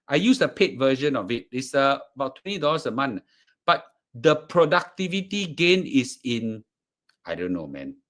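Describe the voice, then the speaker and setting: A man's voice: medium pitch, fairly bright tone. A man with a Singaporean accent talking casually to a class as presenter, restarting and repeating words. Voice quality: halting, repeats words, fillers. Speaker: course presenter. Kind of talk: casual live class talk.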